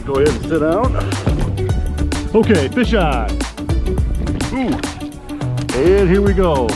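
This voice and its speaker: A man's voice: on the high side, big pitch swings, slow pace, loud music behind the voice. A man casually reviewing a fishing kayak while out on the water, talking things through with lots of fillers. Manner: easygoing, lots of uh fillers, explains as he goes. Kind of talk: casual outdoor review vlog. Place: on a kayak out on open bay water.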